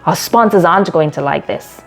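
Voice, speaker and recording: A woman's voice: lowish voice, a little fast, faint music behind, lightly acted. A woman reading the part of a troubled, principled middle-aged male sports club manager in a story, in a grave, dramatic manner. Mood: anxious, stern.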